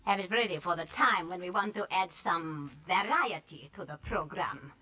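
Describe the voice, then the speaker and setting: An actress in a 1940s radio mystery playing a vengeful, imperious former ballerina who speaks in silky, deliberate threats. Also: female voice, on the low side, sharp tone. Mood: cool menace. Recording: vintage 1940s radio, distant from mic.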